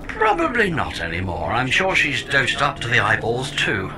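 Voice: medium pitch, huge pitch swings, moderate pace, background noise. An actor playing a chatty pod AI that lives in a woman's head, cheerfully wry and theatrical, in a sci-fi audio comedy. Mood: catty.

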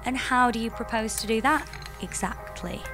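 A woman's voice: a little high, normal speed, over music, close to an everyday voice. A woman playing a lone space traveller in an audio comedy, exasperated, sarcastic and given to swoony flirting. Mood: smitten. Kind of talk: scripted audio comedy sci-fi drama.

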